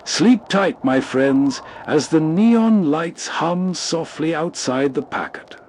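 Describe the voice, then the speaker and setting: A man's voice: fairly high pitch, slow, noisy background. A man hosting with scripted, cozy bedtime narration. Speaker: host.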